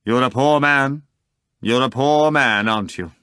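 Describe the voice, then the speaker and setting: A man's voice: medium pitch, measured pace, pushed and bright, clear, resonant tone, dry recording, studio quality, voice pushed up. A man voicing a suave, condescending male magician in an audiobook reading. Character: suave, condescending foreign magician, an older devil.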